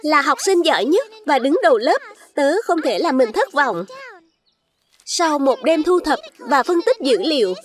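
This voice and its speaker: Cartoon strawberry schoolkid: very high, childlike, vain, stubborn sore loser, music underneath. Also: female voice, animated, unhurried. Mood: self-satisfied.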